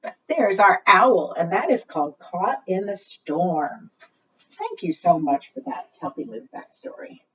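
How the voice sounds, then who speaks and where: A woman leading a preschool storytime, reading aloud and talking to young children in an expressive, deliberate, teacherly way. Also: on the low side, slight background noise. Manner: asks the young listeners frequent simple questions. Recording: muffled, distant microphone.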